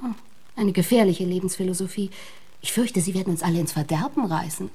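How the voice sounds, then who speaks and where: Seductive, teasing society beauty. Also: female voice, on the low side, brisk, mellow, breathy edge. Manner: coquettish, mocking, sultry. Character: seductive, teasing beauty, wealthy man's flirtatious fiancée. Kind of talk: radio play dialogue.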